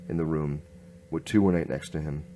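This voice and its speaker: Man as a low-pitched noir inspector. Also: fairly even intonation, fairly quick, warm tone. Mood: grim narration. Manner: terse, grim. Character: hard-boiled noir male police inspector narrating his case. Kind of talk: noir radio drama narration.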